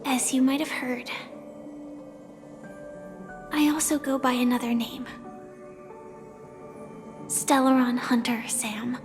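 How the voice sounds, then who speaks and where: An actress playing an earnest, wistful young woman hunter in a video game, speaking gently and with measured, hesitant pauses. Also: sits high, steady tone. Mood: solemn, tender. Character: soft-spoken young female hunter confessing her secret identity, earnest, wistful. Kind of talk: scripted video game story dialogue. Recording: long reverb, music behind.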